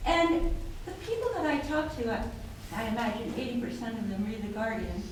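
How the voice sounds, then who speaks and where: A woman's voice: on the high side, expressive, a little fast. An American woman in the audience asking an earnest, emphatic question in a seminar room. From United States. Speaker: audience member. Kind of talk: question from the floor. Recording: distant, very echoey, noisy room.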